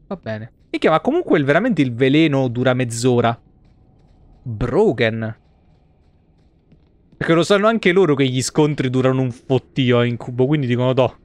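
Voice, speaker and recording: Male streamer, 30s, smooth close dry sound, informal, joking, free with swearing. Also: medium pitch, no room sound. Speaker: live streamer. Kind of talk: casual gameplay commentary. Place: indoor room, seated at a desk.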